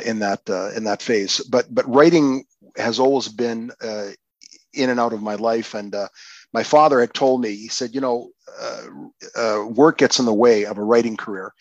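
An older man from Canada, a guest poet, answering in a halting, casual way with many fillers and restarts. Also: on the low side, no room sound, muffled recording. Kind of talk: casual podcast interview.

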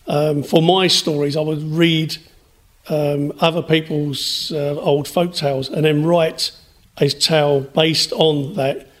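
A man answering as a writer in a radio interview, in hesitant, measured phrases. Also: fairly high pitch. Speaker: interview guest, writer and storyteller.

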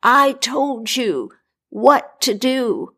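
A woman voicing a stern male Death figure in a told fairy tale, with slow, measured warnings and breathy whispers. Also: a little high, expressive, pushed and bright, voice pushed up, full character voice.

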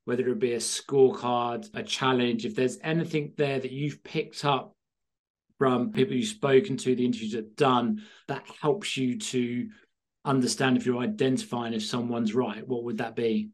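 A male interview host with an ordinary, mid-pitched voice. He builds long, rambling questions, restarting his phrases as he goes. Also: steady tone, normal speed. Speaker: podcast host. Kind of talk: podcast interview.

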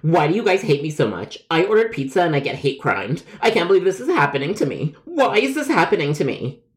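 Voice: mid-range voice, big pitch swings, moderate pace, natural voice. A man voicing a whiny, melodramatic male customer with a grievance in a comedic phone story. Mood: wounded, whining. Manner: melodramatic, whining, yelling.

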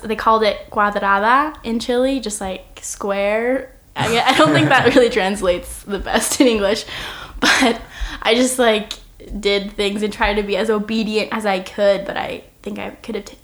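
A woman's voice: medium pitch, fairly bright tone. Earnest young woman from Oregon. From Oregon, United States. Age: 20s. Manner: earnest, reflective, laughs easily. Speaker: podcast guest. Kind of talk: casual interview answers. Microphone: single shared microphone.

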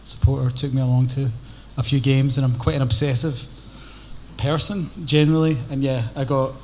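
Flat-toned guitarist guest. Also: male voice, mid-range voice, moderate pace, a little hiss or hum. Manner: flat, thoughtful, hesitant.

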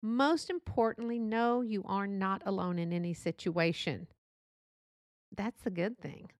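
A woman's voice: medium pitch, measured pace, no echo, studio quality. A middle-aged woman from Texas with a Texan accent, hosting a podcast in a chatty, rambling, self-deprecating solo monologue. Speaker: podcast host.

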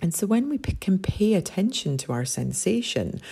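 Scottish woman with a gravelly low voice. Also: big pitch swings, moderate pace. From Scotland. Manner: warm, earnest, rambling, with many "you know" fillers. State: voice deepened and husky after a party. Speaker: podcast host and somatic coach. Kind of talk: reflective solo podcast talk.

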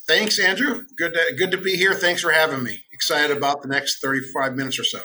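A middle-aged American man talking as a podcast guest, relaxed, friendly and chatty. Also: a little high, fairly quick, a little hiss or hum. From United States. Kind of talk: casual interview.

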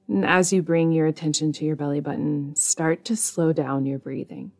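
A woman leading a guided meditation in a soothing, measured way, leaving long pauses between phrases. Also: low-pitched, steady tone, a little mellow, faint music behind. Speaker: meditation guide, podcast host.

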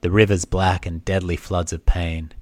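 A man voicing a solemn ancient oracle, reciting a grim prophecy in slow, measured verse for a myth retelling. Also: deep voice, level tone, a little mellow, no echo, lightly acted. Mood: grave, ominous. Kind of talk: dramatic storytelling of an old myth.

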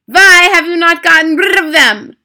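A woman's voice: on the high side, lively intonation, sharp tone, pitched above the performer's own voice, heavily acted. A woman voicing a cruel, furious grand witch from a storybook, screaming imperiously and drawing out her words. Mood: screeching fury. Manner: screaming, imperious, slow drawn-out emphatic words. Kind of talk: dramatic storybook character voice.

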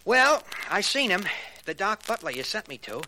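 Dopey, jittery gangland henchman, 1940s radio. High-ish, swooping pitch, slangy and hesitant, crackly recording. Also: very animated, normal speed. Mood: puzzled, uneasy. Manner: slangy, hesitant, ungrammatical tough talk. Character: dim, nervous small-time male hoodlum henchman.

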